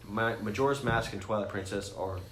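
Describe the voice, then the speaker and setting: A man's voice: lowish voice, a little fast, fairly bright tone. A man co-hosting a podcast, explaining things in a casual, enthusiastic, geeky and sweary ramble. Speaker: podcast co-host. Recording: roomy echo with faint noise.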